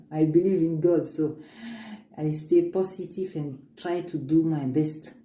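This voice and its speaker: Woman vlogging her homemade stuff, low mellow voice, echoey room. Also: fairly even intonation, slow. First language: African language. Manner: earnest, upbeat, speaks of faith, many restarts. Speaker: vlogger showing homemade oils. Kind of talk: casual show-and-tell, switching between English and French. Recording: echoey room with faint ambient noise.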